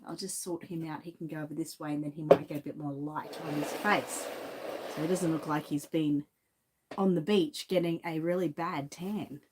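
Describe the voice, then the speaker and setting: A woman's voice: sits low, fairly even intonation, normal speed, background noise. An Australian woman with a lingering cough chatting casually on a livestream, greeting viewers by name from her home studio. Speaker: reborn doll artist and shop owner.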